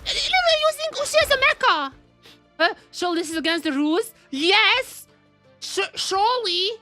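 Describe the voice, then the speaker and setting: High, bright-voiced woman streaming a game. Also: normal speed, soft background music. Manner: expressive, mock-outraged, repeats phrases. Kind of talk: casual live gameplay commentary with exclamations.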